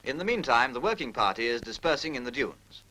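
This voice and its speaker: A man's voice: medium pitch, very animated, fairly quick, sharp tone, rough recording. A British man reading formal scripted narration in the manner of a 1940s military training film, precise, instructional and impersonal.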